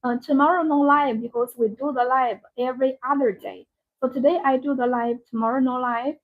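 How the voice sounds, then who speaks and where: A woman with a fairly high voice sells products on a live stream. Her English is non-native, halting and repetitive as she demonstrates and pitches. The audio is thin and tinny, as if down a phone line. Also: fairly even intonation. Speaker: live-stream sales host.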